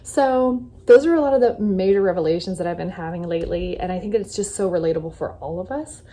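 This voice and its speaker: A woman's voice: fairly low pitch, fast, warm tone. A young adult woman vlogger talking casually to camera. She reflects on her life and speaks in earnest self-affirmations.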